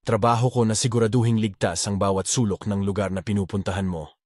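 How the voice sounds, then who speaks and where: A woman voicing a stern young male bodyguard who answers in a flat, clipped, matter-of-fact way. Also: a bass voice, quick pace, no room sound, studio quality, pitched below the performer's own voice. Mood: curt.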